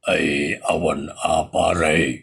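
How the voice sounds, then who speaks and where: A man's voice: extremely low pitch, flat delivery, takes it slowly, gravelly, fairly bright tone, slightly rough, heavily acted. A man playing a broke, resigned friend in a comedy audio drama, drawling hesitantly and placatingly. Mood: evasive.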